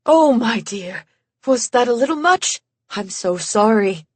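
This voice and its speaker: A woman's voice: on the high side, expressive, a little slow, no echo. A woman voicing a bitter, volatile genius of a man in an audiobook, intense, condescending and mocking. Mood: agitated apology. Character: bitter, volatile, self-important genius man, haggard and snide. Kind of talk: audiobook character acting.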